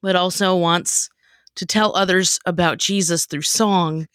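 A woman's voice: on the low side, fairly even intonation, unhurried, fairly bright tone, smooth, no room sound, clean studio sound. A woman hosting a podcast in a casual, jokey chatting style with theatrical emphasis. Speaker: podcast host. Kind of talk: casual chat, explaining a comedy segment.

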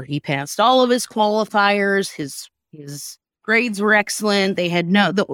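A woman with a slightly low voice hosts in a casual, storytelling style. She talks candidly and colloquially, leaning on her key words. The sound is close and dry. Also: dry recording. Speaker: podcast host introducing comedy sketches.

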